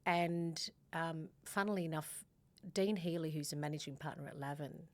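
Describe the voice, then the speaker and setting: A middle-aged Australian woman with a notably low voice, speaking as a podcast guest. She talks in a relaxed, chatty way, full of ums and self-corrections as she recalls her story. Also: normal speed. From Sydney, Australia. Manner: warm, chatty, many fillers and restarts. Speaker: guest, family law partner. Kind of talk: casual podcast interview.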